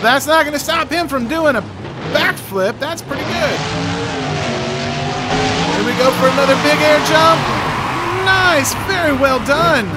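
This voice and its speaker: A man's voice: very high-pitched, animated, measured pace, pauses often, very noisy. A man hosting and announcing a monster truck game event with exclamatory, cheering play-by-play commentary. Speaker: video host and event announcer.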